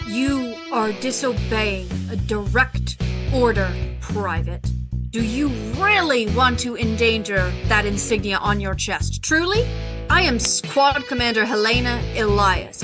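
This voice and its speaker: A woman voicing a stern veteran woman squad commander who threatens a young soldier, with music behind. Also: on the high side.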